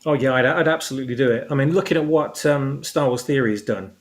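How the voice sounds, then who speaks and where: A man with a medium-pitched voice talks casually as a guest about creative work. He speaks haltingly, circling back and rewording his phrases as he goes. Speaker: guest, audio drama creator. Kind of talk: casual discussion.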